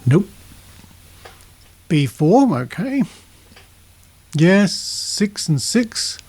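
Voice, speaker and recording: A man's voice: high voice, very animated, drawn-out words, a little mellow. A middle-aged man with an Australian accent, presenting a podcast casually with conversational asides. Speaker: podcast host.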